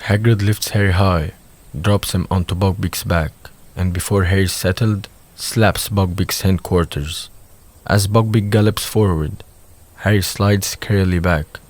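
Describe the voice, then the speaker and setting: A low-pitched man reads scripted narration for an audio drama. His delivery is measured and descriptive, setting out each scene in the present tense. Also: fairly even intonation.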